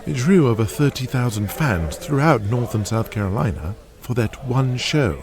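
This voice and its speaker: A man's voice: medium pitch, expressive, moderate pace, crowd noise, natural voice. A man playing a businesslike, upbeat wrestling executive in an audio drama, reporting figures in a matter-of-fact way.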